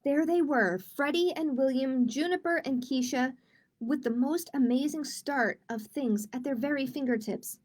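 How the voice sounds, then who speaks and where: A woman's voice: sits high, moderate pace, a little mellow. A woman hosting a livestreamed children's storytime as a librarian, reading aloud expressively and chatting to young viewers with questions.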